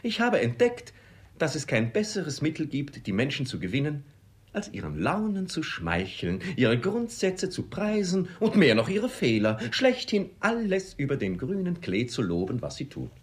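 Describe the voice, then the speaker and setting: An actor playing an ardent young suitor in a classic comedy radio play, eloquent and insinuating. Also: male voice, a little high, huge pitch swings, moderate pace. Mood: ardent, persuasive. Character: ardent, smooth-talking young male suitor.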